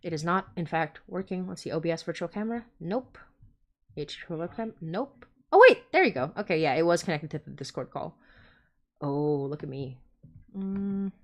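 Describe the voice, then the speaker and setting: A woman's voice: lowish voice, normal speed. A woman hosting a casual livestream, chatting informally and often interrupting herself as she goes. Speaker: podcast host and creator.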